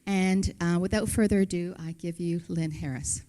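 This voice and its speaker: A woman's voice: fairly low pitch, fairly even intonation, normal speed, dark tone. A woman hosting a seminar, who introduces the speaker in a friendly, chatty way with some hesitant fillers. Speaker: event host.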